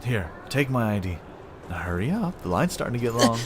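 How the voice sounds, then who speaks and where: A man's voice: medium pitch, very animated, a little fast, natural voice. An actor playing a caring, worried refugee man with a casual, protective manner in an audio drama. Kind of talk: naturalistic audio drama acting. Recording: noisy public station ambience.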